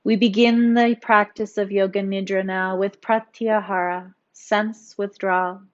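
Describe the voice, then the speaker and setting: A woman yoga instructor narrating a guided meditation in a soothing, gently encouraging, instructional manner. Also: mid-range voice, level tone, measured pace, highs cut off.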